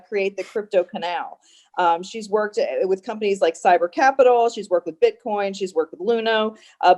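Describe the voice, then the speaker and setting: A woman acting as event host, giving an informal introduction of a speaker in a conversational, slightly halting way. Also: medium pitch, darkish tone. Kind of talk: informal spoken introduction of a guest.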